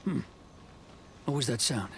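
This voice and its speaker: Gravelly, suspicious shady game character. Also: male voice, medium pitch, animated, unhurried, fairly bright tone, a little hiss or hum. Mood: wary, muttering. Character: suspicious, jumpy, shady man being followed. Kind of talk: video game voice acting.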